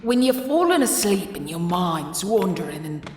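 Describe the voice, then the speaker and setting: A woman's voice: fairly low pitch, very animated, moderate pace, darkish tone, a little hiss or hum. A woman voicing an eccentric, menacing, ancient street crone in a comic dub, rambling and cajoling with old-fashioned endearments. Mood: rambling, cryptic. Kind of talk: comic dub character acting.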